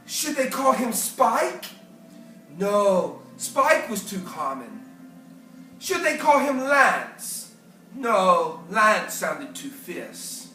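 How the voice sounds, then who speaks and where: A storyteller reading a children's picture book aloud with animated, theatrical, deliberate phrasing. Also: medium pitch. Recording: echoey room, distant mic, faint music.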